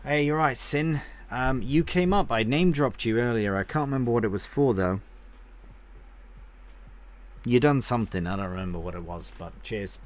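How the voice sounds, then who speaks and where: A man's voice: medium pitch, animated, normal speed. A man streaming a game live, chatting casually and rambling as he answers viewers with friendly banter.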